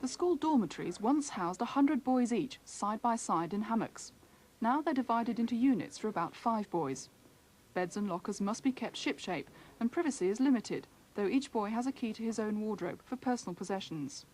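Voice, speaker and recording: A woman's voice: fairly high pitch, lively intonation, normal speed, a little mellow. A British woman reading scripted narration for a television news film. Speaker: documentary reporter, narrator. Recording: voice-over with clear background noise.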